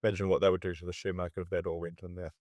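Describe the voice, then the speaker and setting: A man's voice: low voice, flat delivery, rapid-fire, a little mellow, no echo. A man talks markets casually, often restarting his sentences. The sound is dry and close, with no room around it. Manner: conversational, restarts mid-sentence. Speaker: investment commentator.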